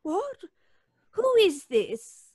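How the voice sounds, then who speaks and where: A woman's voice: very high voice, huge pitch swings, very slow, a little mellow, dry recording, studio quality, exaggerated voice. A woman playing a prickly, defensive, prim neighbor in an old-time radio suspense drama, with theatrical delivery. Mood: wary.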